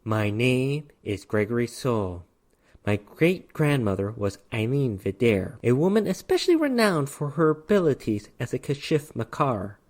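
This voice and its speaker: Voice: mid-range voice, sing-song, normal speed, darkish tone, lightly acted. A narrator voicing a stoic, wry, dapper male demon hunter in a dramatic story reading. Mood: proud, wry.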